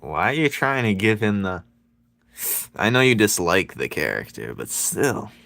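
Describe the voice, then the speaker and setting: A man with a very low, deep voice talks casually with his viewers while drawing. He is playful and laughs easily, and his pitch swings a lot as he jokes around. The sound is dry and close, with no room echo. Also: sing-song, measured pace, vocal fry, fairly bright tone. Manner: playful, laughs often, banters with chat. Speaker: streaming artist.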